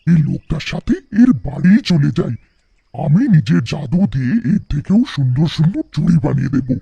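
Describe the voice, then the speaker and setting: A man voicing a kindly witch who muses softly to herself in a cartoon folktale. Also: on the high side, gravelly, husky, no echo, lo-fi sound, full character voice. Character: pitying witch living in a tree, delighted by pretty bangles. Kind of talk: animated folktale voice acting.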